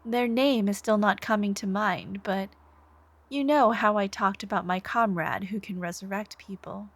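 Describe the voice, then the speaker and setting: A woman voicing an obsessive, devoted, soft-spoken supervillain in a scripted ASMR roleplay, intimate and menacing, with phrases trailing into whispers. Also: medium pitch, natural voice. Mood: softly coaxing. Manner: slow, intimate, breathy sighs and whispered phrase endings.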